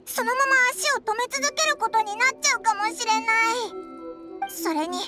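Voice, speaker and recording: A woman voicing a small childlike fairy companion in an anime game, squeaky, excitable and anxious, exclaiming in boyish casual speech. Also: moderate pace. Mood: worried. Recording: music behind the voice. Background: loud game music.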